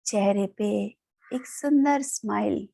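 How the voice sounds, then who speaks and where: Slow-paced Indian woman guiding meditation. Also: medium pitch, vocal fry. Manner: calm, soothing, with long pauses. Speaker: meditation guide. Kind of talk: guided meditation. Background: background music.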